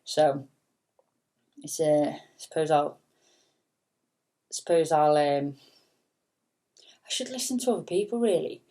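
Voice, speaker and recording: A woman and singer with a soft, low voice, rambling casually in a late-night vlog with lots of fillers and the odd swear word. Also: lively intonation, slow pace, pauses often. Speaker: singer and vlogger.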